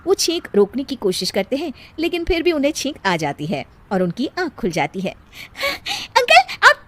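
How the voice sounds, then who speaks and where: High-pitched woman narrating a story. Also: very animated, brisk. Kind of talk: scripted cartoon moral-story narration.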